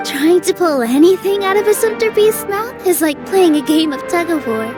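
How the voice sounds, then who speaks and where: A woman voicing a sweet, cheerful young dancer in a video game, gentle and eager. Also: very high-pitched, lively intonation, normal speed. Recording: studio voice over game music.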